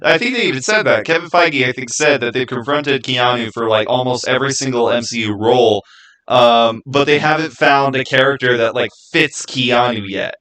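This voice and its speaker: Bright-voiced man chatting casually on stream. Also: medium pitch. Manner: informal, opinionated, halting and repetitive. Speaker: livestream host. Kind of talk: casual livestream chat answering viewer comments.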